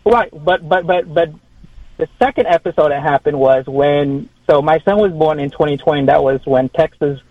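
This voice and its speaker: A man's voice: on the high side, moderate pace, no echo, muffled recording. A man from Vietnam, calling into a radio show and speaking hesitantly, with many restarts. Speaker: caller. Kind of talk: call-in radio conversation.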